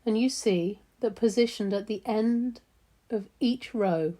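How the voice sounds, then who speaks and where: A woman leading a guided meditation in a soothing, gentle, unhurried manner with long pauses. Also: a little high.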